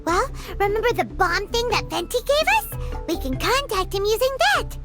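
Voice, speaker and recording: A woman voicing a small, chatty, excitable fairy companion in a video game, with a squeaky childlike voice. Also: normal speed, over loud music, heavily acted. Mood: eager, chatty. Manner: speaks of self in third person, expressive. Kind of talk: video game character acting.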